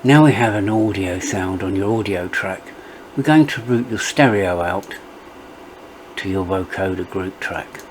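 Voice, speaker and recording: A middle-aged man narrating a step-by-step software tutorial as its presenter. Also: deep voice, unhurried, background noise.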